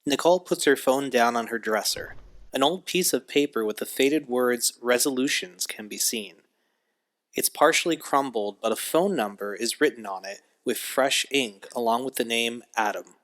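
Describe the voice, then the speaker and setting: A man's voice: medium pitch, moderate pace, a bit bright. A man reading scripted scene narration for an audio drama, describing the action as narrator. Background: music.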